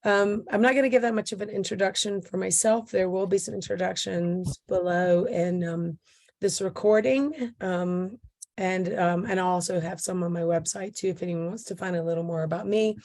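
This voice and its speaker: A woman with a slightly hoarse voice, hosting an interview about photo books and talking in a halting way with many pauses and fillers. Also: on the low side, fairly even intonation, darkish tone. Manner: halting, many ums and restarts. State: hoarse, recovering voice. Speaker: interviewer, photo book consultant. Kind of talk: casual video interview.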